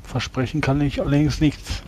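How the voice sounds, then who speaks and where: A man playing a tabletop role-playing game, talking in character in a measured, deliberating way. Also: on the high side, fairly even intonation, darkish tone, slight background noise.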